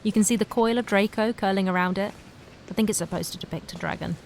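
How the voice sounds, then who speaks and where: An actress playing an observant, wonder-struck city woman in an audio drama, describing things in a hushed way. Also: medium pitch, fast talker, gravelly, a little hiss or hum, lightly acted. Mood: absorbed. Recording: music behind the voice.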